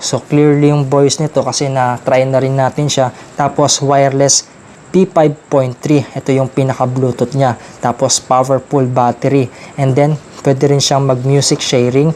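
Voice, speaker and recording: Even-toned Filipino man reviewing gear. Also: mid-range voice, moderate pace, slight background noise. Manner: explanatory, addressing viewers informally. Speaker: product review vlogger. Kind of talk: casual unboxing and review talk.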